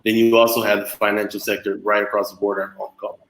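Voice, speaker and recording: A man's voice: fairly low pitch, flat delivery, quick pace, fairly bright tone. A man explaining things in a casual chat, in a hesitant, piecemeal way. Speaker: panel guest. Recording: echoey room.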